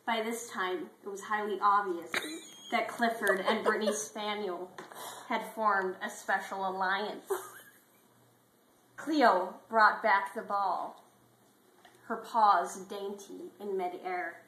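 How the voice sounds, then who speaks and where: Woman doing dramatic parody storybook reading, slow w pauses, echoey room and bit of noise. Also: mid-range voice, steady tone. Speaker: story reader.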